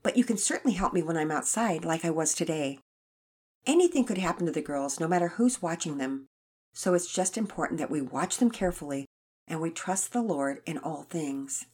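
Woman doing a gentle, reassuring mother. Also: deep voice, expressive, a little fast, breathy edge. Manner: gentle, soothing, preachy.

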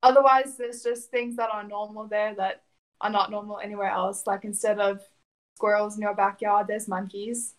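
A young woman from South Africa talks through her story in an even, level tone with little rise and fall. She answers questions plainly and steadily, now and then with a soft laugh. Her first language is Afrikaans. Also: medium pitch, moderate pace, poor audio. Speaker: interview guest. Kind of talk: conversational interview answers.

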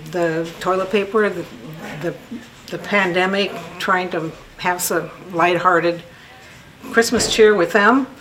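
A woman with a fairly low voice speaks informally in an interview, phrasing things haltingly. There is some ambient noise around her, as in a gallery. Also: fairly bright tone, low-quality recording. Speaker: local artist being interviewed. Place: art gallery. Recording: noticeable background ambience noise.

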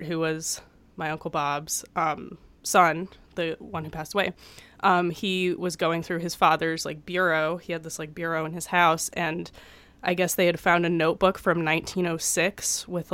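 A young woman in her twenties chats on a podcast while getting over a cold. Her voice sits a little low and comes through smooth and clear. She rambles along with plenty of fillers, close to the mic with a dry sound. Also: a bit bright, no room sound. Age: 20s. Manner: chatty, many fillers. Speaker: podcast co-host. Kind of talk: casual chat. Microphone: studio microphone.

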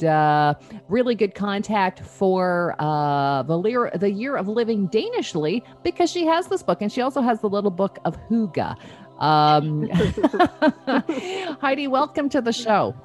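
A woman's voice: mid-range voice, expressive, measured pace, darkish tone. A woman hosting a show and chatting with a guest in an interview style. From Canada. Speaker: show host.

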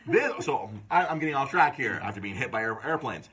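Brief: man, bright lively delivery, loud joking host, light hiss. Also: medium pitch, brisk. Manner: loud, joking, plugs events. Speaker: podcast host. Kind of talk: casual live-stream chat. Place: comic book store.

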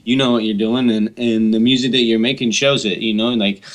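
A man's voice: fairly low pitch, steady tone, a little fast. A man co-hosting a casual interview, talking in loose slang and often checking in with "you know what I'm saying.". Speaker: podcast co-host.

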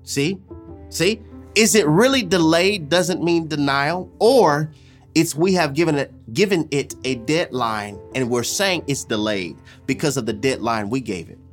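A preacher with a mid-pitched voice delivers an impassioned sermon. The delivery is emphatic and repetitive, moving between forceful exhortation and hushed, deliberate lines, with music underneath.

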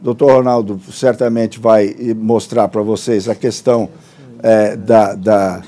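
A man with a Brazilian Portuguese accent giving a brisk formal presentation with hesitant restarts. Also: fairly low pitch, steady tone, mellow. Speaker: conference speaker.

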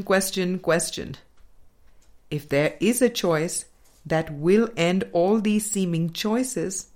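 A woman reading a spiritual book aloud as scripted narration, in a deliberate, measured manner with pauses between phrases. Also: on the low side. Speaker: audiobook reader.

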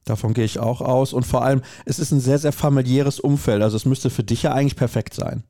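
Mellow-voiced male podcast host. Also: medium pitch, fairly even intonation, fast, dry recording, studio quality. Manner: conversational, repeats words for emphasis ("sehr, sehr"). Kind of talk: interview questions and commentary.

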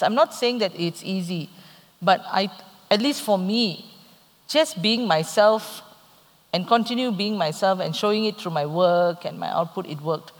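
A middle-aged woman with a Malaysian accent, speaking candidly and reflectively on a live conference panel. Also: medium pitch. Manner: candid, reflective, with playful asides. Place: conference hall, on stage. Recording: reverberant hall.

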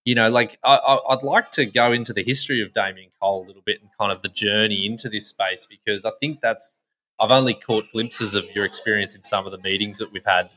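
A man hosting a podcast, asking questions in a relaxed, chatty way with plenty of fillers. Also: lowish voice, a little fast, rough recording. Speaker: podcast host. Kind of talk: casual podcast interview.

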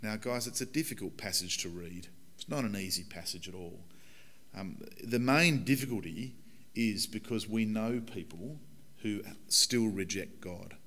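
A man in his forties, a pastor with a croaky, hoarse voice, giving a conversational and earnest sermon in a church. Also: lowish voice, measured pace, a bit bright. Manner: conversational, earnest preaching with fillers. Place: church hall.

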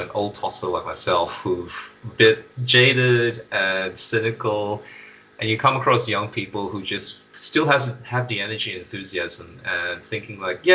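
A man's voice: on the low side, pushed and bright, recorded from a distance, muffled recording. A man and former teacher speaking candidly in a casual interview, with self-mocking humour.

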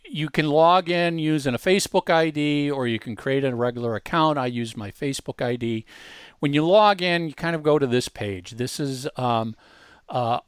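A man co-hosting a podcast, explaining software features in a casual, conversational way with emphatic repetition. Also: mid-range voice, clear, resonant tone, no room sound, studio quality. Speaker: podcast co-host giving a software demonstration. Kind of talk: casual explanatory talk, walking through features.

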